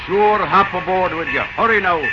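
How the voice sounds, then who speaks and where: An actor playing a hearty, talkative railroad engineer with an Irish accent in an old-time radio drama. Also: male voice, high-pitched, moderate pace, loud music behind the voice, natural voice. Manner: colorful, repetitive brogue phrasing. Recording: muffled old radio recording, loud backing sound.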